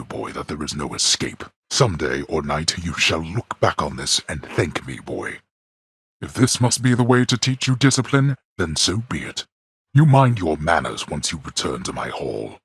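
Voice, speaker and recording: A man voicing a stern, scornful god of the dead and imperious father in a video game, with a booming, gravelly voice and formal, archaic phrasing. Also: fairly bright tone, dry recording, clean studio sound, full character voice. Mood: scornful, angry. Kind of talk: video game character voice acting.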